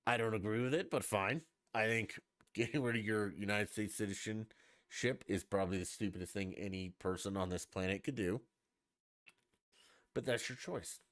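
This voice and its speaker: A man's voice: lowish voice, moderate pace, fairly bright tone, no echo, clean studio sound. A man hosting a podcast, talking alone in a blunt, profane, emphatic rant. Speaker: podcast host. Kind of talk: opinionated solo podcast rant.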